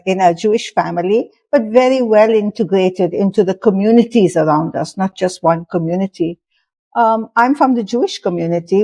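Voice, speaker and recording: A woman's voice: medium pitch, warm tone. A woman in her sixties from India, speaking as an interview guest in a reflective, thoughtful way with frequent fillers. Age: 60s. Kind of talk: interview conversation.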